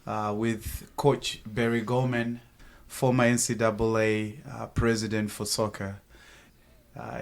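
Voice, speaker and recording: A man in his thirties from Zimbabwe, with a Zimbabwean accent, talking earnestly and gratefully as a guest on a podcast. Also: medium pitch. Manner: earnest, grateful, joking at times. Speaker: sales team guest. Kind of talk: casual podcast interview.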